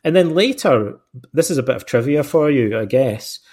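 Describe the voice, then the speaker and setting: Middle-aged Scottish author, mid-pitched, chatty and meandering, talks himself around his points. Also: lively intonation, moderate pace, darkish tone, slightly rough. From Scotland. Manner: rambling, self-deprecating, many fillers and restarts. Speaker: author being interviewed. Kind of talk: relaxed conversational answers.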